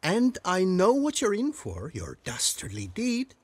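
Swaggering game jailbird, raised pitch, very lively melody, chatty, theatrical, dry close sound. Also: male voice, a little slow, slightly rough, no echo, full character voice. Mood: smug, teasing. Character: cocky, roguish male jailbird, self-styled prison king. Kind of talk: video game voice acting.

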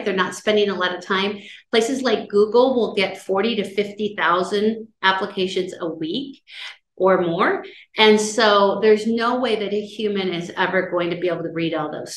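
A middle-aged woman with a loud voice giving a casual career-services talk, chatty and conversational, often checking in with her listeners. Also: mid-range voice, slight background noise. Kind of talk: casual lecture on job searching. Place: office, indoors, on a video call. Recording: roomy video-call audio.

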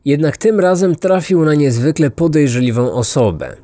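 A man narrating a scripted true-crime story as a podcast host, with dramatic, measured phrasing. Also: fairly high pitch, expressive, dark tone. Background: music.

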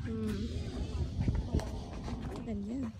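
A woman's voice: on the high side, steady tone, very slow, very noisy. A woman chatting playfully on a travel vlog, switching between English and Tagalog. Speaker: travel vlogger. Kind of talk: casual travel vlog chat.

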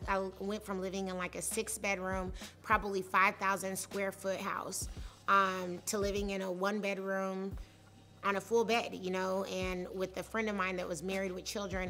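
A woman in her thirties tells how she started her company, with a high-pitched voice. She talks candidly and conversationally, with plenty of fillers. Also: sharp tone. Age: 30s. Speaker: startup founder. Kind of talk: personal story told in interview. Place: studio set with leafy wall.

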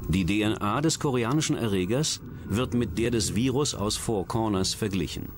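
A man reading scripted documentary narration about science and crime in a measured, dramatic, clearly enunciated way. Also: fairly low pitch, a little hiss or hum. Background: music.

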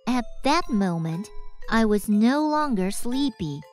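A woman voicing a frightened schoolgirl who narrates her own night of terror in an animated horror story. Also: fairly high pitch, slow, faint music behind. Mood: uneasy. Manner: hushed, breathy fear rising to screams.

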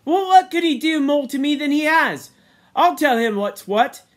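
Guy doing a whiny-high righteous little boy from a novel, insistent schoolboy talk, very animated. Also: normal speed. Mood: indignant, pleading. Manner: colloquial schoolboy grammar, insistent and emphatic.

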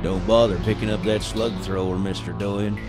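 A woman's voice: extremely low pitch, fairly even intonation, moderate pace. A woman playing a tough, blunt animal patrol hound officer in a radio play, speaking tersely. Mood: stern. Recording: loud music behind.

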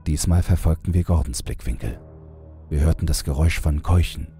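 Very deep, dark-voiced male horror narrator. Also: a little fast, soft background music. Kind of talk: scripted first-person horror narration.

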